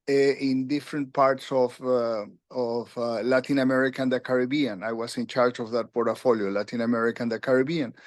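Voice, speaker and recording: A man in his sixties from Peru, a retired UN official telling his career story in an interview, with halting speech full of fillers and restarts. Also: medium pitch, no echo, clean studio sound. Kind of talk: conversational interview.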